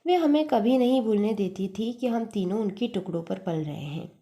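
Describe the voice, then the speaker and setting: A woman storyteller with a mellow, relaxed voice of medium pitch. She reads literary fiction expressively, keeping an earnest and measured delivery.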